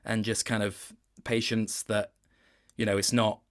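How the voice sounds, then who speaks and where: A man's voice: on the low side, flat delivery, measured pace. A man speaking as a guest voice actor in an interview, explaining his work reflectively in stop-start phrases. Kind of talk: interview chat about performance work.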